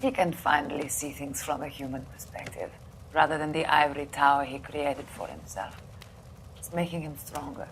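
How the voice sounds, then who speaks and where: Low, gravelly, guarded young woman. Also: normal speed, slight background noise. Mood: subdued, earnest. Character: troubled, guarded young female patient, softly suspicious. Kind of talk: dramatic video game acting. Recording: background music behind the voice.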